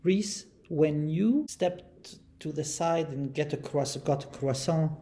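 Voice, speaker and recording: A podcast host asking guests questions in a slow, probing way. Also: mid-range voice, dark tone, slight background noise. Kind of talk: interviewing.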